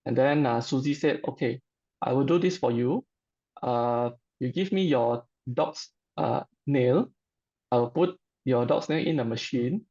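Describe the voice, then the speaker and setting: A middle-aged man with a Malaysian accent, giving an informal personal testimonial in a halting, hesitant way. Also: mid-range voice, dark tone, highs cut off.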